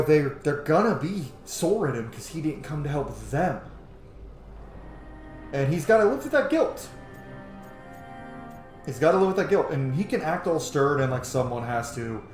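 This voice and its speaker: A man giving casual, reflective game commentary, thinking aloud in a halting, informal way. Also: fairly high pitch, roomy sound. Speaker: gamer, host of a roleplay playthrough.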